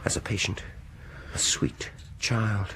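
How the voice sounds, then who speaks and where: An actor playing a menacing dentist bent on revenge in a radio horror drama, deliberate and politely taunting. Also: male voice, on the low side, fairly even intonation, gravelly, breathy edge, exaggerated voice, poor audio. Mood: cold, quiet menace. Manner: soft-spoken politeness turning sinister, deliberate and taunting.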